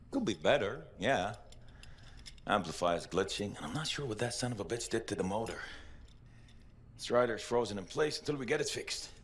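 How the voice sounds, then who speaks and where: An actor playing a blunt, practical mechanic in a video game, grumbling and muttering in a naturalistic way. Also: male voice, fairly low pitch, animated, normal speed, long pauses, slight background noise. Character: gruff, practical male mechanic, scolding but steadying comrade.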